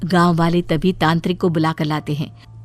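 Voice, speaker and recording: A woman's voice: low voice, little pitch movement, normal speed, faint music behind. A woman reading a scripted horror story as its narrator.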